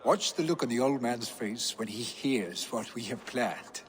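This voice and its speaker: A man's voice: mid-range voice, measured pace, slight background noise, close to an everyday voice. An actor playing a proud, restless young Viking warrior man in a video game, speaking earnestly and with some grandeur. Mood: playful, eager. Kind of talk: dramatic video game acting. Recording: long hall reverb.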